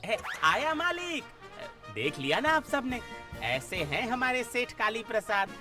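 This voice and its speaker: A work-shy comic clerk, whining and wheedling with cheek. He talks slowly and very expressively, in broad cartoon style over clear background music. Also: extremely high pitch, big pitch swings, full character voice. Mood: cheeky, confiding. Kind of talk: exaggerated comic cartoon acting.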